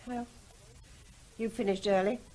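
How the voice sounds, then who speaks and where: A woman's voice: a little high, fairly even intonation, unhurried, a little mellow, a little hiss or hum, lightly acted. An actress playing an anxious middle-aged wife who pleads emotionally with her husband in a radio drama. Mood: distressed. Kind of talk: 1970s radio drama acting.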